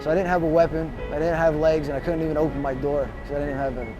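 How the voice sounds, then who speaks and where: A man's voice: on the high side, flat delivery, fast talker, muffled recording, over music. A man, a soldier, giving an unscripted interview account in a matter-of-fact way.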